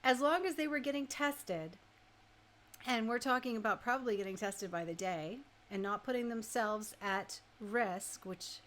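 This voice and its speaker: A woman radio host chatting casually and informally, thinking out loud as she shares her opinions. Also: on the high side, normal speed.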